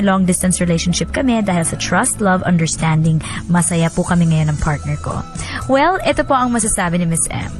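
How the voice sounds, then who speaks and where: A woman with a Filipino accent hosting radio, telling stories and giving advice expressively, with English phrases mixed in. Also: mid-range voice. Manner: expressive, switches between Tagalog and English phrases. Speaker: radio host reading listeners' letters. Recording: faint music bed.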